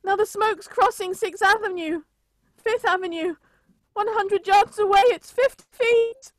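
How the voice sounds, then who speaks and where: A woman playing a grave, solemn radio reporter giving a deliberate eyewitness account in a radio drama. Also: extremely high pitch. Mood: mounting dread. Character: grave radio reporter narrating a city's destruction from a rooftop. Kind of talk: radio drama acting, live news report.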